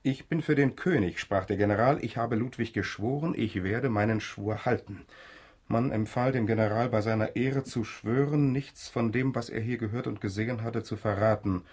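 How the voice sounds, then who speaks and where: Actor as grave young man, low voice. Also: normal speed, a little mellow, close to an everyday voice. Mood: grave, measured. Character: young man demanding the truth about his murdered father. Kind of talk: German radio drama acting.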